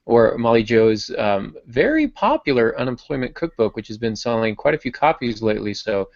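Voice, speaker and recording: Gravelly guy hosting a chill podcast, rambly and jokey, dry room, dull lo-fi sound. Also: medium pitch, sing-song, normal speed, no echo. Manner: friendly, rambling with restarts and joking asides. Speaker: podcast host. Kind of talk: casual podcast chat.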